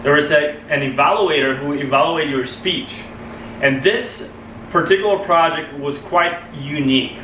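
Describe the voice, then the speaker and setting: A young man with a Polish accent gives an earnest club speech, restarting phrases as he searches for the right words. His voice is bright and fairly high for a man. He sounds set back from the microphone in an echoey meeting room. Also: normal speed, a little hiss or hum. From Poland. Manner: earnest, restarts phrases, non-native grammar. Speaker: club speaker. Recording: echoey room, mic far off.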